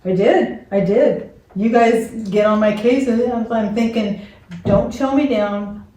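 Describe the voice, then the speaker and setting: Slow, plainspoken woman, roomy sound. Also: on the high side, dark tone. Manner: slow, plainspoken, repeats herself. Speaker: podcast co-host. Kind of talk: casual family podcast chat. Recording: echoey room, far from the mic, faint noise.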